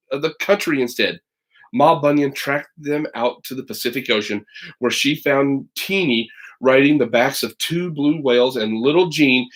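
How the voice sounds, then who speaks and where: A man reading a children's story aloud as a teacher would, animated and chatty, slipping into casual asides. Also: mid-range voice.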